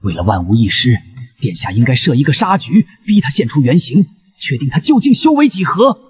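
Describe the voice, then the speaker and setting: A man's voice: medium pitch, sing-song, fairly quick, close to an everyday voice. A man playing an old sorcerer who advises a prince, deferential yet ruthless and scheming, in a costume fantasy audio drama. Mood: sly, calculating.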